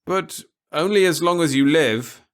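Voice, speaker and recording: A man voicing a stern, articulate male official in an audiobook, with emphatic, deliberate, formal phrasing. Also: on the high side, pitched above the performer's own voice, natural voice. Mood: blunt, explanatory. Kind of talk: audiobook character dialogue.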